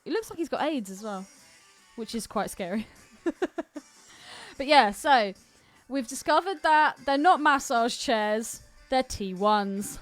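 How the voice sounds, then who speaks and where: A woman with a high voice talks casually and candidly, as a podcast co-host would. Her delivery is lively and slangy, with big swings in pitch. Faint music plays behind her. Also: a little slow. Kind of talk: casual film chat.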